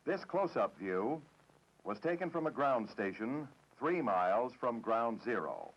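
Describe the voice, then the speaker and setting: An American man reading a formal, measured, matter-of-fact script in the style of a 1960s government documentary narrator. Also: medium pitch, sing-song. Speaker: training film narrator. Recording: dull, dated 1960s film soundtrack.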